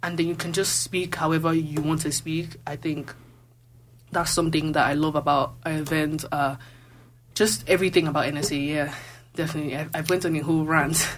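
A woman's voice: sits low, steady tone, fairly quick, a little hiss or hum. A young woman from Nigeria, a student guest on a campus radio show, chatting casually and rambling with many fillers. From Nigeria (Lagos). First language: Igbo. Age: young adult. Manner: rambling, filler-heavy with lots of "like". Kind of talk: casual chat.

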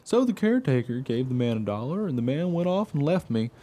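Man playing an eager, naive dog, animated storyteller. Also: mid-range voice, a little fast. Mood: earnest, guileless. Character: eager, naive, trusting young dog telling his story. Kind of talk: first-person radio play narration.

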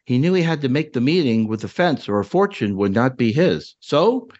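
A man's voice: medium pitch, animated, normal speed, a little mellow. Man storytelling, slightly dull audio. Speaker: podcast host reading his own story. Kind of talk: dramatic story narration and casual chat.

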